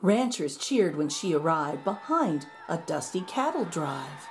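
A woman reading a rhyming children's picture book aloud in an expressive, measured storytelling manner. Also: deep voice, soft background music. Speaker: storybook reader.

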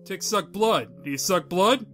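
A man's voice: sits high, lively intonation, measured pace, a bit bright, soft background music. A man playing a blunt, incredulous, nosy street guy in a comic-book audio drama, talking colloquially. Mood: dismissive. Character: gruff, skeptical, nosy street guys needling a superhero.

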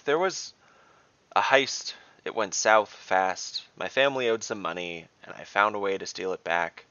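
A man's voice: fairly low pitch, bright, forceful tone, no echo, highs cut off. A man playing a young warrior princess in a fantasy audio story, casual, emphatic and defiant.

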